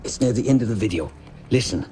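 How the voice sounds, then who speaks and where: An actor playing an eager, fussy, wry tech expert in a video game, with an excitable delivery. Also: male voice, lowish voice, fast, heavy background noise, lightly acted. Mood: eager, intent. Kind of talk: cinematic video game acting.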